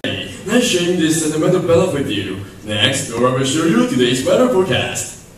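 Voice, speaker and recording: A young man acting as a breezy, jokey talk show host. His voice is fairly high and sits back from the mic in a very echoey hall with faint noise. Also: sing-song, normal speed, recorded from a distance. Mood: breezy, amused. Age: young adult. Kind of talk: student radio drama talk show.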